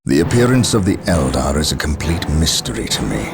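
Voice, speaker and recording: A zealous space marine captain with a very deep voice. His delivery is formal and commanding, with dramatic rises and falls, in a stern video game style over loud music. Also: sing-song, fairly quick, vocal fry, full character voice. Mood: brooding, solemn.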